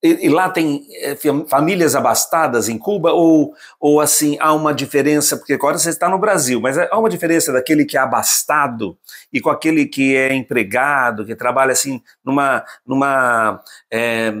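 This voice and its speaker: A man's voice: medium pitch, normal speed, a bit bright. A man from Brazil, a psychiatrist hosting an interview, who prompts his guest with questions and echoes back his words. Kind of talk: conversational interview.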